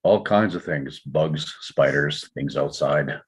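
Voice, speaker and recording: A man acts a subdued father in a drama read-through. His voice is very low and husky, and his lines come out terse and muted. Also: slow, exaggerated voice. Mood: matter-of-fact. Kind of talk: table read of a drama script.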